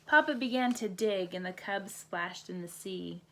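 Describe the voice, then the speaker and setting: A woman's voice: mid-range voice, measured pace, slight background noise. A woman reading a children's bedtime story aloud as a storybook narrator. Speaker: storybook reader.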